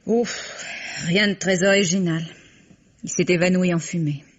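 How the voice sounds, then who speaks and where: An actress playing a brisk, sardonic masseuse with teasing, world-weary irony in an old French radio drama style. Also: female voice, lowish voice, fairly even intonation. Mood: bitter, resigned. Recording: dull, hissy vintage radio recording.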